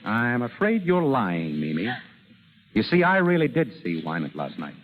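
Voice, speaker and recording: An actor playing a suave, wry American gentleman detective in 1940s radio drama style, urbane, droll and measured. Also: mid-range voice, huge pitch swings. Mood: grave, probing. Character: suave, wry, self-assured gentleman detective gathering suspects. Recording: dull, noisy vintage radio broadcast.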